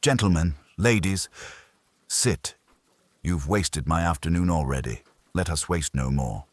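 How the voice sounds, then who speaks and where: A man's voice: low voice, lively intonation, a little slow, no room sound. A man voicing an imperious, brooding detective genius in an audiobook, formal, curt and given to pronouncements. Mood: imperious, stern. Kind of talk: audiobook character dialogue.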